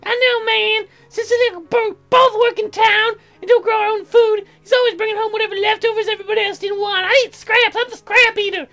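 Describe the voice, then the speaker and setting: Super high whiny ditzy girl colonist, childish slangy game character, music behind. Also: fairly even intonation, fairly quick, vocal fry, hardly any pauses, a bit bright, exaggerated voice. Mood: whiny complaining. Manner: childish mangled slangy grammar, whining. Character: whiny, dim, food-obsessed young female colonist neighbor. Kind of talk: game character voiced in a let's play.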